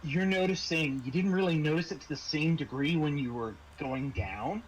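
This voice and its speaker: A man's voice: on the high side, normal speed, slight background noise, poor audio. A man narrating a tabletop horror game as game master, in a conversational, descriptive storytelling way.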